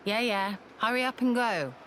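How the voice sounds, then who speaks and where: A woman playing a gruff, dutiful military commander in a video game cutscene, talking bluntly and with authority. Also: a little high, expressive, moderate pace, fairly bright tone, slight background noise, rough recording. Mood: blunt, stern.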